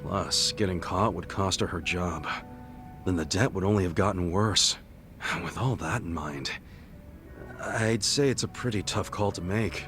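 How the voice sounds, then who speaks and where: Earnest, low-voiced American buddy. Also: male voice, a little slow, a bit bright. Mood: concerned. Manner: blunt, colloquial, earnest. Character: earnest, sympathetic, plain-spoken male buddy listening. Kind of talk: video game dub, conversational. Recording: music behind the voice.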